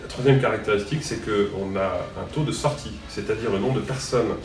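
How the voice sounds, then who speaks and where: Fast-talking man, low voice. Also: reverberant, far from the mic. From Montreal, Quebec. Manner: fast, filler-heavy explaining. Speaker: escape game founder. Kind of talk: promotional interview.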